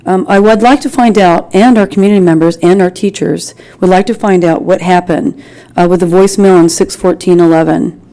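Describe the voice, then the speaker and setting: Community member at a public meeting: American woman, lowish mellow voice, earnest, halting, insistent. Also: steady tone, rough recording. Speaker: community member speaking in public comment at a school board meeting. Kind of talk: earnest, informal public address.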